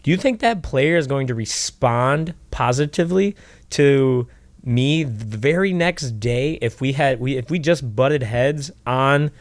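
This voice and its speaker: A man co-hosting a podcast as a basketball trainer, talking loudly with lots of fillers and restarts. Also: mid-range voice, a little slow, no room sound. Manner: loud, rambling with many fillers and restarts. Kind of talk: casual podcast chat.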